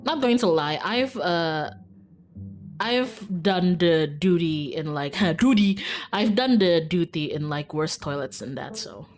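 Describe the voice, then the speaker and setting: A woman's voice: lowish voice, expressive, slow pace. A woman streaming a game, reading aloud and dropping in casual, chatty asides. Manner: reads narration, breaks into chatty informal asides. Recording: background music. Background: game music.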